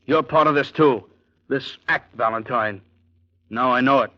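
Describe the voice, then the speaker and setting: An angry man played by a radio actor makes accusations at a middling pitch. The delivery is old-time crime drama, heard through a dull vintage radio recording. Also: measured pace, lightly acted. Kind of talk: 1940s radio drama acting.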